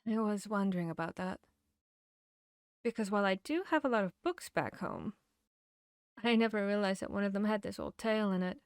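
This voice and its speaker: A woman's voice: medium pitch, animated, brisk, long pauses, smooth, dry recording, natural voice. Woman acting as intimate female vampire hunter, very dry sound. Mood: curious, gentle. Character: female vampire hunter, confiding, bookish, teasing fantasy companion. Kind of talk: ASMR roleplay audio drama.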